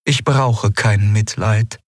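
A man's voice: fairly low pitch, fairly even intonation, slow pace, no echo, clean studio sound, voice pushed up. A man voicing a cool, cynical winged female being of great age in an audiobook reading.

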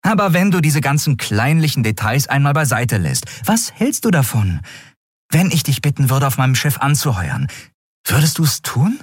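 A man voicing a bold, stubborn adventuring woman in a fantasy audiobook, brisk, persuasive and headstrong. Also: medium pitch, big pitch swings, husky, no room sound, studio quality, voice pushed up. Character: bold, stubborn young woman, adventurous thief and ship owner.

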